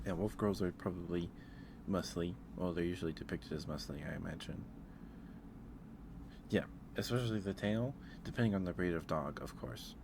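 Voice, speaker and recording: Male ASMR streamer, low voice, halting and rambling casual chat, clear noise in the recording. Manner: hesitant, rambling, often restarting mid-thought; chatting back to live viewers. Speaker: livestream host.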